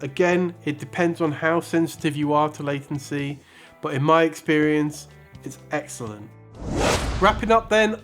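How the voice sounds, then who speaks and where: A man hosting a review channel, giving a scripted product review with measured, emphatic presenter delivery. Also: fairly high pitch. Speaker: host of a guitar pedal review channel.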